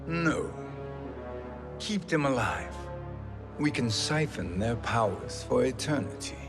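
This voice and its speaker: An actor playing a power-hungry, menacing and grandiose sorcerer in a video game cutscene, over loud music. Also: male voice, medium pitch, lively intonation, slow pace. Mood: commanding. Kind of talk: theatrical game voice acting.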